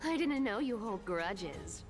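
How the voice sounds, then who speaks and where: A woman's voice: medium pitch, sing-song, slow pace. A woman voicing a greedy, mischievous demigod trickster in a video game, teasing and theatrical, slipping between airy murmurs and exclamations. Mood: sly, playful. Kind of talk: animated video game voice acting. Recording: game audio with clear background music.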